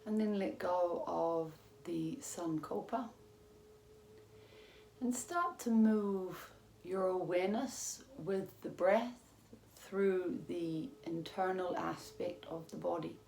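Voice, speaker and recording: Female meditation guide, low register, calm and measured with long pauses, roomy sound. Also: gravelly. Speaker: yoga teacher. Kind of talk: guided meditation, yoga nidra instruction. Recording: roomy echo with faint background noise.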